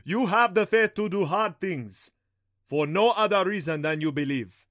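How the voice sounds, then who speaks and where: Booming, jovial thunder god. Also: male voice, animated, moderate pace, dry recording, studio quality. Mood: approving. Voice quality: loud, booming. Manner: laughs heartily, exclaims grandly.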